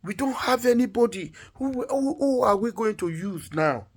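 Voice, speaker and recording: Storybook king, loving husband in despair, higher-pitched and insistent, pleading refusals, acted out by a male storyteller. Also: expressive, moderate pace. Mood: distraught. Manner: agitated, repeats phrases insistently.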